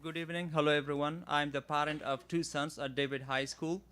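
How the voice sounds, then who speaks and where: A man from Afghanistan, a parent giving an earnest, halting public comment at a podium microphone in a school board meeting room. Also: fairly high pitch, level tone, a bit bright, smooth. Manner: earnest, halting with frequent fillers.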